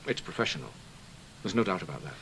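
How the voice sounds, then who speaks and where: An actor playing a deferential security investigator in a radio drama, giving polite, factual reports. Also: male voice, sits low, big pitch swings, fast, gravelly, a bit bright, a little hiss or hum, heavily acted, poor audio. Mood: matter-of-fact. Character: deferential, matter-of-fact male British security investigator. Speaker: subordinate colleague reporting.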